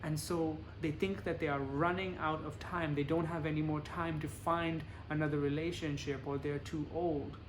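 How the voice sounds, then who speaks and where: Male lecturer, plain measured delivery, faint room noise behind. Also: fairly high pitch, flat delivery. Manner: plain, measured explaining with examples. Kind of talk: bilingual lecture with interpreter.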